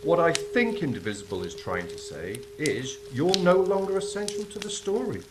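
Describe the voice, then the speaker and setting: An actor playing a skeptical, wisecracking angel in a stage drama. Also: male voice, a little high, very animated, moderate pace. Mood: sly, persuading. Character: skeptical, wisecracking angel on celestial clean-up crew. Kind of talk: theatrical stage drama acting. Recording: clearly noisy stage recording.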